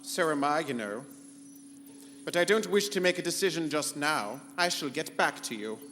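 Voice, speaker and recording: Casting: male actor as rogue spaceship computer, haughty and scheming, higher-set theatrical delivery with swooping intonation, live hall. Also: expressive, moderate pace, sharp tone. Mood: imperious. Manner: pompous, theatrical, formal wording. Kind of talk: live comedic sci-fi radio play in 1940s serial style. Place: convention hall stage before live audience. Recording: echoey live hall, humming background noise.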